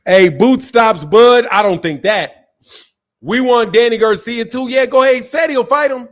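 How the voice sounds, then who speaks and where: A man hosting a casual live-stream boxing talk show, reading out chat comments and reacting in an animated, repetitive way with plenty of swearing and slang. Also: very high-pitched, moderate pace. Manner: animated, swears freely, repeats himself.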